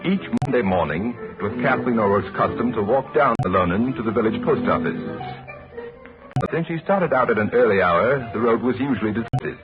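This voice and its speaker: Low-voiced male old-time radio narrator. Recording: old radio broadcast, dull sound. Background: music.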